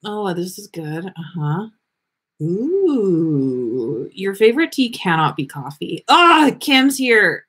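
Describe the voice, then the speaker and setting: A woman hosting a livestream in a playful, expressive manner. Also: medium pitch, takes it slowly, slightly rough. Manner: playful, sweary, teasing, expressive. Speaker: podcast host reading fan fiction on a livestream. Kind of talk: casual livestream banter.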